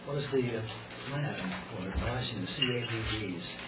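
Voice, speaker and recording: A hoarse man asking questions at a public meeting, joking and apologetic. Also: fairly low pitch, normal speed, far from the mic. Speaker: board member. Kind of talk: meeting discussion. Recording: noisy roomy room mic from afar.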